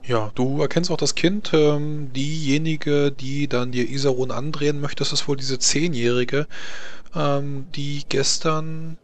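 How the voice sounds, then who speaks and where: A man's voice: on the high side, steady tone, unhurried. A man running a tabletop role-playing game as game master, describing the scene with frequent hesitations.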